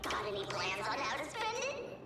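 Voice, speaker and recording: An actor playing a small, eager, flattering animal sidekick in an anime video game, with an excitable, fawning manner. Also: mid-range voice, moderate pace, heavy background noise. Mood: fawning, excited. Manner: excitable, fawning toward its boss. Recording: echoey, distant, over game music.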